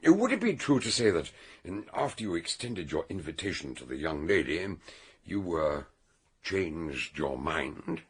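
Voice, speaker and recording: An actor playing a shrewd, genial older British detective in a radio mystery, questioning courteously and narrating the case. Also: male voice, low voice, big pitch swings, measured pace, slightly rough, full character voice. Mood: probing.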